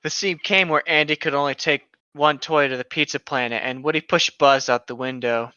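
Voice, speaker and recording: A man's voice: fairly high pitch, steady tone, a little fast, bright, forceful tone, clean tone, no room sound. A man co-hosting a show, reading a story aloud with casual, playful banter, recorded on a slightly dull home microphone. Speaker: podcast co-host reading and mocking internet horror stories.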